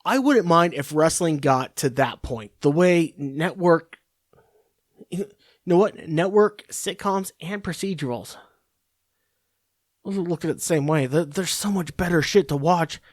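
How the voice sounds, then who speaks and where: A man's voice: a little high, expressive, measured pace, no echo, clean studio sound. A man hosting a podcast, ranting in a profane, rambling, sarcastic monologue. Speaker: podcast host.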